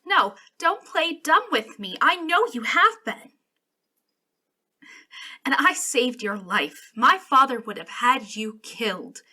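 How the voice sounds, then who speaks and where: A woman's voice: high-pitched, sing-song, bright, forceful tone, full character voice. A woman playing an arrogant, jealous young princess in a dramatic ASMR roleplay, imperious but wounded and emotional. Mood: angry, accusing. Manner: imperious, emotional, halting when hurt. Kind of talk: ASMR roleplay, dramatic one-sided dialogue.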